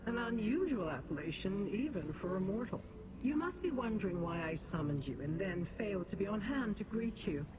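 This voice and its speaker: A woman voicing a haughty, calculating demon sorceress in a fantasy video game, formal and condescending in her measured delivery. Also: fairly low pitch, background noise, natural voice.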